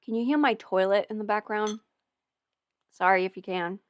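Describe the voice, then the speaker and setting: A woman's voice: mid-range voice, normal speed. A woman hosting a casual livestream, chatting informally and thinking aloud as she goes. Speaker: livestream host and voice performer answering viewer questions. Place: indoors at a desk at home.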